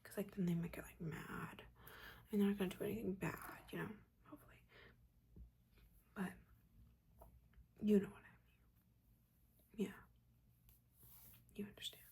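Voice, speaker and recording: A woman voicing a judgmental but caring witch mentor in a breathy, chatty, chiding whisper, in a soft-spoken ASMR roleplay. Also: mid-range voice, fairly even intonation, unhurried, long pauses, exaggerated voice. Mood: gently instructive. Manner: chatty, chiding, casual slangy asides.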